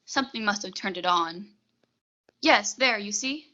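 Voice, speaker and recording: A woman's voice: medium pitch, expressive, unhurried, pushed and bright, lo-fi sound. A young woman playing a prim, formal, coolly deferential secretary in a 1940s-style suspense radio play. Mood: calm, placating. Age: young adult.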